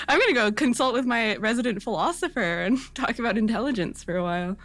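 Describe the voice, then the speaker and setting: A woman's voice: fairly high pitch, expressive, fairly quick, fairly bright tone, faint music behind. A woman chatting casually as a panelist, playful and enthusiastic in how she talks. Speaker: podcast panelist. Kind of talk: casual chat.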